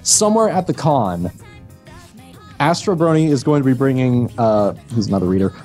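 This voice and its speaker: A man's voice: medium pitch, sing-song, moderate pace, a little mellow, faint music behind. A man with an American accent, a guest voice actor and story narrator, chatting casually in a group video call with lots of fillers and restarts. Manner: rambling, with many fillers and restarts.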